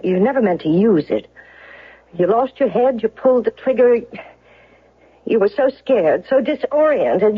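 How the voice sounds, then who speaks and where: An actress playing an earnest, probing woman defense lawyer in a radio mystery drama, questioning in an insistent, deliberate way. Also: fairly high pitch, breathy edge. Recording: dull, lo-fi old radio broadcast.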